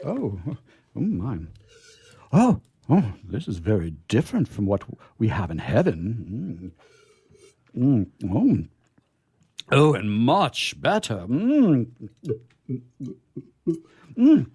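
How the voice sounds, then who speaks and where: An actor playing a naive, courtly angel in a comic radio play, with formal, florid, hesitant phrasing. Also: male voice, mid-range voice, wildly swooping pitch, takes it slowly, long pauses, dark tone, breathy edge, dry recording, studio quality, full character voice. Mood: delighted, tipsy. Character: naive, courtly, curious male angel discovering earthly pleasures.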